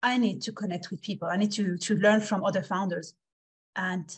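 A woman in her forties from Switzerland, telling her story conversationally as a podcast guest, energetic and repeating words for emphasis. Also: medium pitch, brisk, husky. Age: 40s. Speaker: podcast guest, founder and investor.

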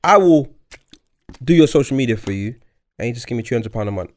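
A man's voice: mid-range voice, expressive, fast talker. A man hosting a podcast, chatting casually and repeating himself as he pushes his point. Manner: talks over others, stammers repeats while pushing a point. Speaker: podcast host. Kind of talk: casual chat.

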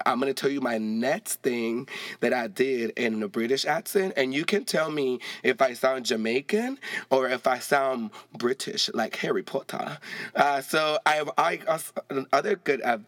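A young man talking excitedly on his own solo podcast, chatty and casual and swearing freely. His voice sits at an ordinary pitch for a man. It is recorded close and dry. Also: moderate pace, no room sound. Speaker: podcast host.

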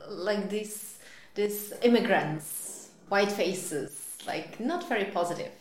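A woman's voice: medium pitch, slow. A woman from Belgium answering interview questions casually and hesitantly, with many fillers. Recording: echoey room with ambient noise.

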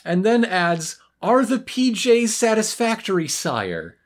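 A co-host with a mid-range voice who talks at an unhurried pace with lively swings in pitch. The delivery is a casual, wry recap, dropping dry asides and acting out quoted lines. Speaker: podcast co-host.

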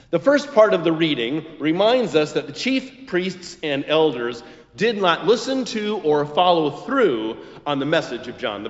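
A man preaches in a muffled recording with long hall reverberation. He takes his time, phrasing his prepared sermon emphatically and with very animated intonation. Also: a little high. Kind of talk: scripted expository sermon with quotations.

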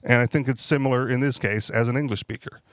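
Male co-host, relaxed conversational delivery, tag questions, medium pitch, close dry sound. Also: fast, no room sound. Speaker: podcast co-host. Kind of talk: casual panel discussion.

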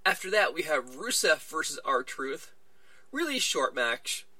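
Male podcast host, very bright tone, dry close mic, casual recap chat. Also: fairly high pitch, sing-song, a little slow, no room sound. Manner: chatty, retelling events with little quoted voices.

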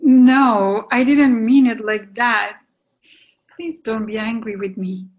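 A regretful woman gently ending a romance, acted in a play reading. She speaks slowly in a lightly raised pitch, restrained and apologetic, with a resigned air. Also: fairly even intonation, muffled recording. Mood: pained, placating. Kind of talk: readers theatre play reading.

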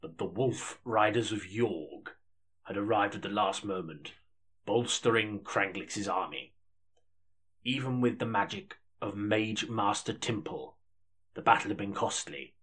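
A British audiobook narrator in a comedy. His voice is low and husky. He comes across as polite and a little hesitant, the sort who reads high fantasy with care. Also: a little slow, a bit bright. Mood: solemn narrating.

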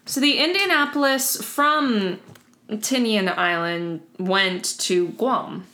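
A woman co-host with a middle-pitched voice tells a history story to her friend. She is relaxed and conversational, dropping in casual fillers as she goes. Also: takes it slowly. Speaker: podcast co-host. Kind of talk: casual history podcast chat.

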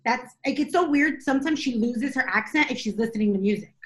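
A woman co-hosting a podcast, chatting in a relaxed way about her family. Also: a little high, a little fast. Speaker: podcast co-host. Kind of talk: casual podcast chat.

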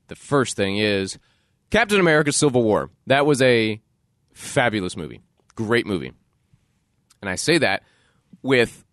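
A man hosting a casual solo podcast, his voice stuffed up and nasal from a cold, talking with animated, playful energy. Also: fairly low pitch, no room sound, clean studio sound. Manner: animated, playful self-promotion. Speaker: podcast host.

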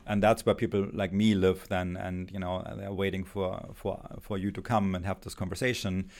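A middle-aged man with a German accent, a philosophy professor speaking as a guest, halting and thoughtful with many pauses and restarts. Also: low voice, level tone, darkish tone. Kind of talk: thoughtful academic conversation.

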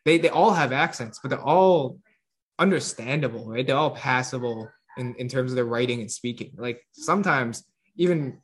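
A man chatting casually on a podcast, animated, laughing often and tripping over repeated words. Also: mid-range voice, moderate pace. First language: English. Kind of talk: casual chat.

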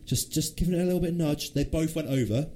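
A man co-hosting a relaxed radio chat in a mellow, dark voice. He stops and restarts often and fills the gaps with "uh", with a faint hiss in the background. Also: a little high, fast talker. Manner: hesitant, many fillers and restarts. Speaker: co-host.

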